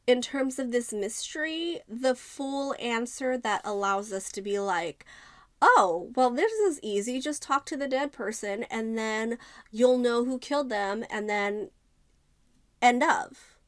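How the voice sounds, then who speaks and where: A woman's voice: fairly high pitch, lively intonation, takes it slowly, a bit bright, no echo, clean studio sound. A woman giving a casual, conversational book review, thinking aloud and stressing her points as she talks to viewers.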